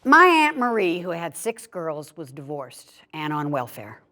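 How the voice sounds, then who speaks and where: Low-pitched woman reading a story aloud. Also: sing-song, unhurried, sharp tone. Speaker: story reader. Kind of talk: reading a personal childhood memoir aloud.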